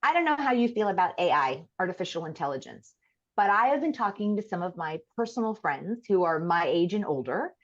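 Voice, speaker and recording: A woman in her fifties, a podcast guest chatting casually in an animated, emphatic way. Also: fairly low pitch, highs cut off. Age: 50s. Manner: animated and emphatic, quick to laugh.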